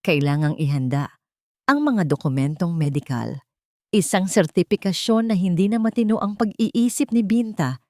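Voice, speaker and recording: Low-voiced woman narrating a drama, dry studio sound. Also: darkish tone, no room sound. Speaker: narrator of audio drama stories. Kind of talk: dramatic scripted story narration.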